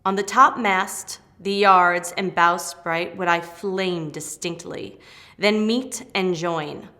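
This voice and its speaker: A woman's voice: on the low side, fairly even intonation, takes it slowly, pushed and bright. A woman playing an eager, proud airy spirit servant in a declamatory, emphatic Shakespearean stage reading. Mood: vivid, excited. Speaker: actor in dramatic reading.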